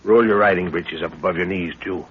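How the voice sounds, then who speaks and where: An actor playing a middle-aged, seasoned jungle adventurer, fatherly and commanding, with measured instructions in a 1930s radio drama. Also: sits low, natural voice. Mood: instructing. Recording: noisy dull 1930s radio recording.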